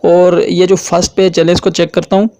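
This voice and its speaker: A man's voice: sits high, level tone, normal speed, darkish tone, no room sound. A man hosts an app tutorial. He explains each step in turn, and the recording is dry and close, without room sound. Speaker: tutorial host.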